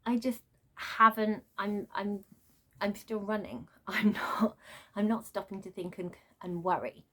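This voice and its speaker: Female book reviewer, medium pitch, informal unscripted rant, exasperated delivery with animated asides. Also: a little slow. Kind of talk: unscripted book rant, casual vlog chat.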